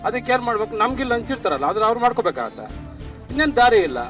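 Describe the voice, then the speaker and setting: A man's voice: very high voice, sing-song, rapid-fire, fairly bright tone, faint music behind. A man giving his opinion in a street interview, emphatic and asking rhetorical questions. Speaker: member of public interviewed.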